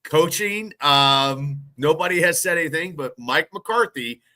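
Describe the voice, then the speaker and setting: A man's voice: on the high side, unhurried, pushed and bright. A congested, under-the-weather man hosting a casual sports podcast, rambling with frequent restarts. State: congested, sick. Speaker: podcast host.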